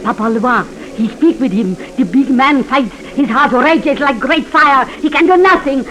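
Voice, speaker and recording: A woman's voice: high-pitched, normal speed, fairly bright tone, rough recording. A woman playing an old Haitian voodoo seer in a 1940s radio drama, ominous and chanting in simple broken English. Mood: ominous, trance-like. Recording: old radio recording with crowd.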